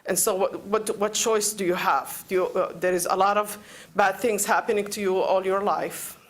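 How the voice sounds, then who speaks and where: Halting Palestinian-accented woman speaking publicly. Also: mid-range voice, fairly even intonation. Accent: Palestinian Arabic. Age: 40s. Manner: halting, searching for words, repeating phrases. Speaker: public commenter at a council meeting. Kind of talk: unscripted public speech, pleading. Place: council meeting room.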